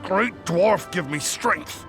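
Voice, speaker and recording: A man's voice: high-pitched, slow pace, music in the background. A man playing an earnest, respectful dwarf warrior in a fantasy video game, speaking formally. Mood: urging. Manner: formal, deferential. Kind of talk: scripted fantasy game acting.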